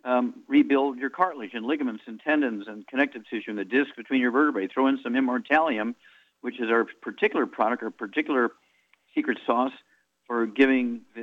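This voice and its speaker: Older male radio host in his eighties, rambling pitchman, fillers, lo-fi radio sound. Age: 80s. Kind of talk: radio talk and product pitch.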